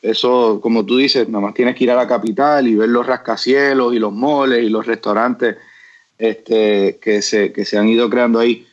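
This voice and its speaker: A man's voice: medium pitch, steady tone, normal speed, highs cut off. A man from Rico, a business guest answering in an interview, hesitant with many restarts and fillers. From Puerto Rico.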